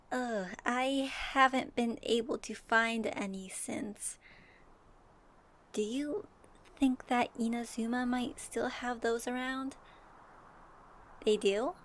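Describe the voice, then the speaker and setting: Woman playing young traveler girl, soft n thoughtful, takes her time, asmr roleplay, faint noise behind. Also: fairly high pitch. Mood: sheepish. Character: young female traveler and knight, earnest, gently wry. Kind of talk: binaural ASMR audio roleplay.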